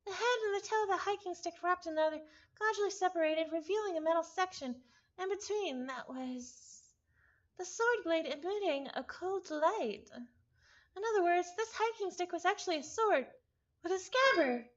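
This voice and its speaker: A woman's voice: extremely high pitch, expressive, moderate pace, muffled recording. A woman reading a story aloud live with casual asides, often stumbling over and repeating words. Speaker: visual novel reader, streamer.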